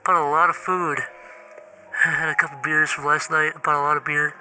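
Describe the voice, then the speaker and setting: Man playing a whiny, high-voiced, stammering Jesus. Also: flat delivery, moderate pace, music in the background, lightly acted, poor audio. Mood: awkward, hurt. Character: needy, passive-aggressive, petty Jesus leaving voicemails. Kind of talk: improvised comedy voicemail skit.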